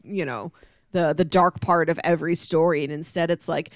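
A woman's voice: sits low, steady tone, normal speed, no room sound, studio quality. A woman hosting a podcast, chatting casually about a book and acting out bits as she retells it. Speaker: podcast host.

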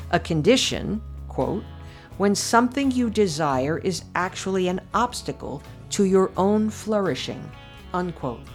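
A woman commentator reading her own essay aloud in a measured, deliberate way with emphatic pauses. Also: mid-range voice. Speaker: podcast commentator.

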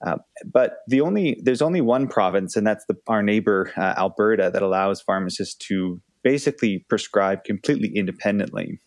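A man, a pharmacist guest giving measured explanations in an interview. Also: on the low side, lively intonation, no room sound, studio quality. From Canada.

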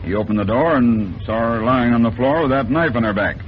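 An actor playing a discreet, uneasy theater manager in an old radio crime drama, speaking formally and hesitantly. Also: male voice, medium pitch, fairly quick, lightly acted. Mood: grave, explanatory. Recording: dull, noisy vintage radio recording.

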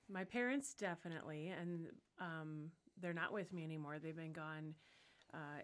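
Low-voiced sincere middle-aged woman. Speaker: school staff guest. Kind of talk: casual podcast chat.

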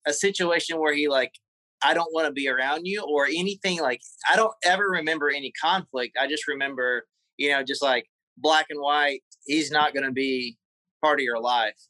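A man with a Southern accent from South Carolina, telling his life story as an interview guest, often pausing and repeating words. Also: on the high side, pushed and bright, no room sound, rough recording. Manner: halting, repeats words while recalling memories. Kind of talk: casual interview conversation.

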